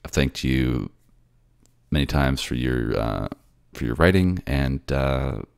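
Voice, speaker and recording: A man with an extremely deep voice and a lot of fry, narrating and talking as a voice actor. The recording is close and dry. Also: lively intonation, takes it slowly, no room sound. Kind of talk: scripted story narration and casual sign-off.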